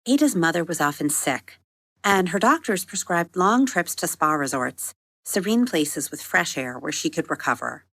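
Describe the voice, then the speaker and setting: Woman telling a kids bedtime story, low gravelly-edged voice, measured n expressive, music behind. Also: no room sound. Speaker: podcast host and story narrator. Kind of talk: scripted storybook narration for children.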